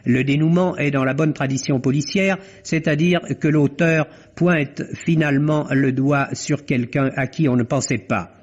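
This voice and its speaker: A man reviewing a film for radio as a critic, speaking in measured, articulate, literary phrases. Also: a little high, steady tone. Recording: dull vintage radio recording.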